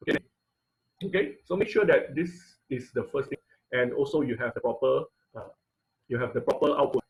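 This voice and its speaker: A man with an average-pitched voice teaches a live technical class online. His delivery is informal and halting, with repeated words and frequent little check-ins as he walks through each step. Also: expressive, low-quality recording. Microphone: online video call.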